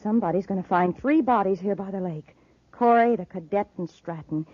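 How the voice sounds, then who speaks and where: An actress playing a cold, commanding, scornful criminal boss in a 1950s radio serial. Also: female voice, medium pitch, fairly quick, no echo, close to an everyday voice. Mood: cold, ruthless. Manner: commanding, crisp orders, scornful. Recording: muffled old 1950s radio recording.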